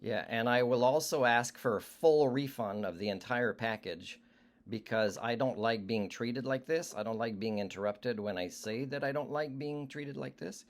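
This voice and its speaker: A man speaking as an aggrieved customer in a phone complaint, argumentative and halting, often trailing off. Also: mid-range voice. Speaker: customer on a service call. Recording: noisy recorded phone call, clicks.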